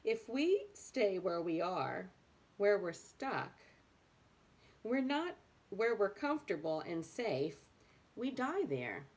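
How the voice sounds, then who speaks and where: A woman's voice: medium pitch, very animated, slow pace, a little mellow. A woman reading a book aloud expressively, with emphatic phrasing. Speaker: reader of a book aloud for adults.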